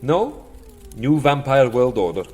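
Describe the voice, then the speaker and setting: An actor playing a world-weary vampire master of a castle in a comedy audio drama, theatrical and musing, given to petty grumbling. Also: male voice, mid-range voice, sing-song, a little slow, darkish tone, breathy edge.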